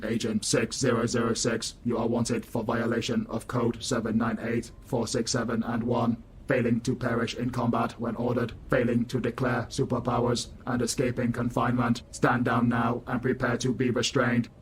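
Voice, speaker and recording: A man's voice: medium pitch, monotone, normal speed, noisy background, lightly acted. A man playing a stern, impersonal enforcement officer making a formal announcement in an audio drama. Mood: cold, commanding.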